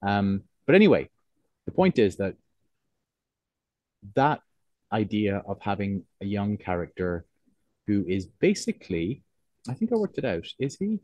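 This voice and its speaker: A low-pitched man speaks in a soft Cork accent that has been neutralised toward English. He is thoughtful and hesitant, rephrasing as he goes in a reflective interview. The recording is dry, with very little room around the voice. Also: very animated, measured pace, long pauses, dry recording. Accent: soft, neutralised Cork Irish, closer to English. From Cork, Ireland. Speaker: interviewed writer.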